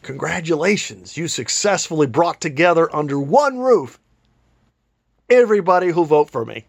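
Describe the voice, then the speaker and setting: A man voicing a bashful, eager political candidate in a story, earnest and excitable. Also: a little high, normal speed, creaky. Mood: wry.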